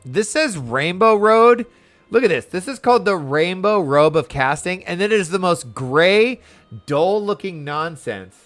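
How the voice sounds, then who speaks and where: A man's voice: sits high, animated, a little slow, a bit bright, faint music behind. A man chatting casually and animatedly as he streams a video game, rambling from thought to thought. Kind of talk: casual livestream chat over gameplay.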